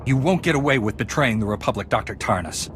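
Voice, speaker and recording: An actor playing a composed, resolute knightly hero in a sci-fi video game, speaking in an earnest, measured way. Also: male voice, medium pitch, animated, a bit bright, a little hiss or hum, natural voice. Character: steadfast, composed young male Jedi knight hero.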